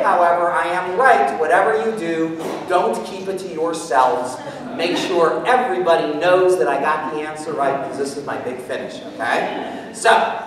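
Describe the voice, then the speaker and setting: A middle-aged man performing mental math live on stage, talking through his working out loud like an animated showman. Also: a little high. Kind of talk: live stage show explaining calculations aloud. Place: indoor theater stage. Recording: echoey hall, far from mic, noisy.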